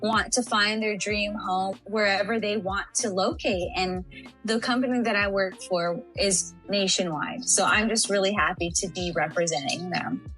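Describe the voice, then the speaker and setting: A woman's voice: mid-range voice, fairly even intonation, normal speed, fairly bright tone, faint music behind, poor audio. A young woman from San Antonio, Texas, chatting as a podcast guest. She is bubbly and laughs often. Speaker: interview guest. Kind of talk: casual podcast interview.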